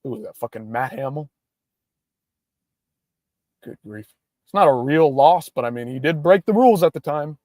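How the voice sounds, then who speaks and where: Young man, livestream host, hyped-up swearing rant, slightly high voice, dry sound. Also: lively intonation, normal speed, long pauses, a little mellow, no room sound. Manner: profane, hyped-up ranting. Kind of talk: casual livestream chat.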